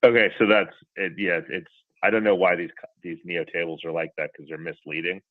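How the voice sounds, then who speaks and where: A man's voice: deep voice, steady tone, fairly quick, dry recording. A man speaking as a financial analyst on an earnings call, asking questions in an informal, direct, conversational way. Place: conference call. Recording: dry, tinny telephone line.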